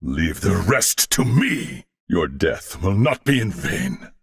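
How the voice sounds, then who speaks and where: Gravelly, proud warrior swordsman. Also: deep voice, big pitch swings, slow, heavily acted. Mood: grave, vengeful. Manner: formal, archaic, crisply declaimed phrasing. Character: formal, disciplined, proud swordsman warrior with gallant loyalty. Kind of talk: video game battle voice lines, theatrical.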